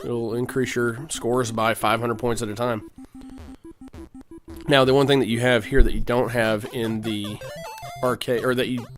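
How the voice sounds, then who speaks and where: A man's voice: mid-range voice, level tone, measured pace. Guy with a cold chatting through a retro game, lots of ums, music playing behind. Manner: rambling, with frequent fillers and throat clearing. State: has a cold. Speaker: let's play host. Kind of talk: casual gameplay commentary.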